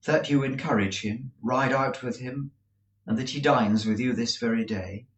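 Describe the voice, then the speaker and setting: A man reading aloud, voicing a cold, proud young woman who speaks calmly and without feeling. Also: a little high, pitched above the performer's own voice, heavily acted. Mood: cold, measured. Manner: cool, measured, unmoved. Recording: roomy echo.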